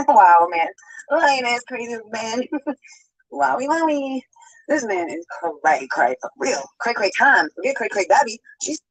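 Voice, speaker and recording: Woman livestreamer with a bright, high voice, loose and rambling, recorded close and dry. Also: sing-song, measured pace, no room sound, poor audio. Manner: chatty, greets viewers by name. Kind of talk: casual livestream chat. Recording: sped-up archived livestream audio.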